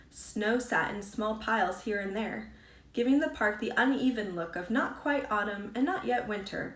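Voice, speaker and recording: A woman reading a storybook aloud as a scripted narration, as an author reads her own book. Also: mid-range voice. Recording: roomy echo, distant from mic.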